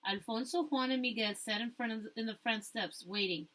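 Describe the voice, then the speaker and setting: Female teacher, higher-pitched, story read-aloud with questions for young listeners. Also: fairly quick, fairly bright tone.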